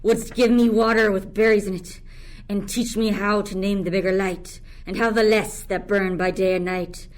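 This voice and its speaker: A woman's voice: mid-range voice, steady tone, normal speed, lightly acted. A young woman playing a resentful enslaved island monster in a Shakespearean radio play, declaiming in verse. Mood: aggrieved. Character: Caliban, bitter cursing enslaved island monster, witch's offspring.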